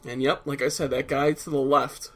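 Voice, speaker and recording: A man's voice: medium pitch, flat delivery, normal speed, a bit bright. A man with a stuffed-up nose giving casual, explanatory commentary over a video game, blunt and a little profane at times. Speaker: let's play commentator.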